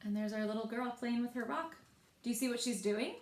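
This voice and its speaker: A woman reading picture books aloud in an expressive way, speaking directly to young listeners and asking them questions. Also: a little high, brisk, a little hiss or hum. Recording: echoey room.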